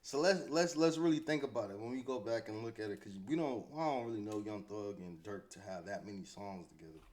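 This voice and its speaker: A man's voice: lowish voice, expressive, brisk, a little mellow. A man with an American accent giving casual, off-the-cuff commentary as a host, slangy and conversational, often repeating himself. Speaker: host commentating on hip-hop news.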